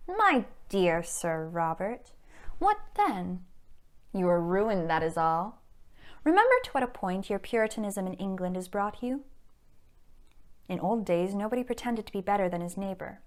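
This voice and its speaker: Woman performing cunning society lady, smug, elegant, menacing, mocking stage delivery, medium pitch. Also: very animated, normal speed, lightly acted. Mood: smug mockery. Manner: arch, mocking, theatrically emphatic. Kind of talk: period stage comedy monologue.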